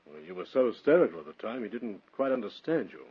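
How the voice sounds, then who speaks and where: An actor playing a polite, methodical police detective with a husky voice in a vintage radio thriller. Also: male voice, medium pitch, big pitch swings, fast. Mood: courteous, probing. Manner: apologetic, methodical. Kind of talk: 1940s-60s radio thriller acting. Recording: muffled vintage radio recording.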